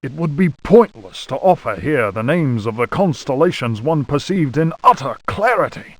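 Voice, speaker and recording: An actor voicing a pompous, fastidious aristocratic explorer of the 1820s, reading a travel journal in a mannered, formal way for a horror game. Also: male voice, on the high side, animated, no room sound. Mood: impressed, formal.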